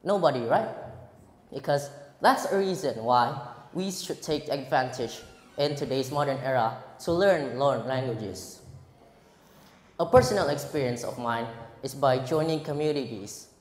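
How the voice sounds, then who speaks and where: A teenage boy from Indonesia with an Indonesian accent, giving a prepared speech at a school contest, earnest and halting, often restarting words. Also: medium pitch, lively intonation. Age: teens. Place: large echoey hall. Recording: roomy hall with long reverb.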